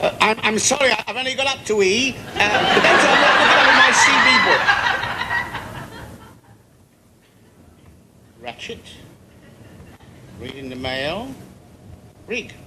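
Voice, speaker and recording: A man's voice: high-pitched, lively intonation, normal speed, pauses often. A man with an English accent playing a bumbling suburban husband in a TV sitcom, hesitant and theatrically flustered. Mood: puzzled, flustered. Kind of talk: British TV sitcom acting. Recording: very noisy old TV recording.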